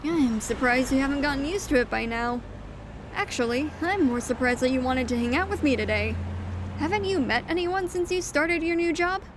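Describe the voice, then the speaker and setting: A woman's voice: sits high, expressive, normal speed, noisy background. A woman voicing a spunky, friendly and teasing young goat girl in an audio drama, perky and chatty. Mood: playful.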